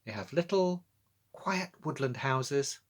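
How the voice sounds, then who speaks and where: A man's voice: medium pitch, lively intonation, takes it slowly. A man reading children's poems and chatting about them, speaking directly to young listeners and asking them questions. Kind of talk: children's poetry reading with friendly explanatory chat.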